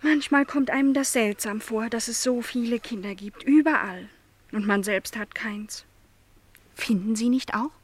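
An actress playing a shy but curious young housemaid in a radio play, speaking hesitantly and very politely. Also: female voice, a little high, unhurried, a little hiss or hum, close to an everyday voice. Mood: wistful. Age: young adult.

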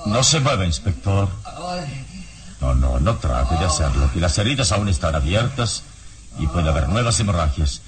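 A man acting a calm heroic detective in an old Mexican radio serial, speaking in a measured, formal, commanding way. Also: sits low. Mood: calm, concerned. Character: calm, deep-voiced heroic detective adventurer.

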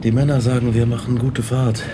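An actor playing an obsessed young male scientist, grave and deliberate, in a German radio drama. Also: medium pitch, level tone, noisy background, natural voice. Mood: guarded, restless. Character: Victor Frankenstein, obsessed young male scientist recounting his horrors.

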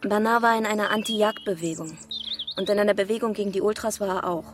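A woman plays an earnest, politically aware village mother in a radio thriller. Her medium-pitched voice is explanatory and slightly wry. Music can be clearly heard behind her. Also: fairly even intonation, quick pace, lightly acted. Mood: earnest, bitter. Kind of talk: German radio crime drama acting.

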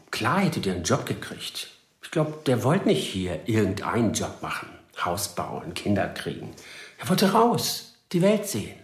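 A man's voice: sits low, creaky, exaggerated voice. A man playing a surly, blunt prisoner in a radio crime drama, curt, defensive and colloquial, in an echoey room. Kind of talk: naturalistic radio drama acting.

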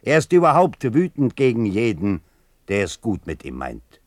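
An actor playing a grave, aphoristic male speaker passing judgment in a radio drama, deliberate and emphatic. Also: fairly low pitch, wildly swooping pitch, no echo, studio quality. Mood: emphatic, judging.

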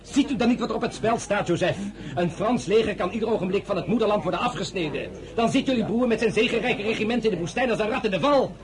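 An actor playing a forthright, sceptical French general, emphatic and sardonic, in a radio drama. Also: male voice, high voice, fast, crowd noise, close to an everyday voice. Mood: heated, emphatic.

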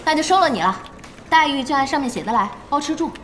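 An actress in a Chinese audio drama playing a brisk, bossy young woman who runs an internet cafe, speaking directly. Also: sits high, animated, a bit bright, slight background noise. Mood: brisk, businesslike. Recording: music and crowd sounds behind.

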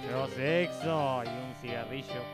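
Slow-talking Argentine man over guitar music. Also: mid-range voice, rough recording. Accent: Rioplatense Argentine Spanish. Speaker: radio show participant. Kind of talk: playful radio sketch banter.

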